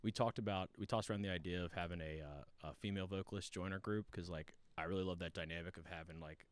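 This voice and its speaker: A man's voice: sits low, fairly even intonation, fast talker, dry recording. A young man as a podcast guest in easygoing casual chat, agreeable and full of "yeah"s.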